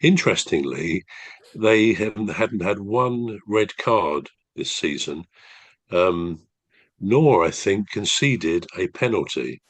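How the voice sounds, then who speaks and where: A man from England, co-hosting a football podcast in a measured, thoughtful way, his nose still blocked from a cold. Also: low-pitched, expressive, no room sound. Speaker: podcast co-host, former referee. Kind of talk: casual podcast chat.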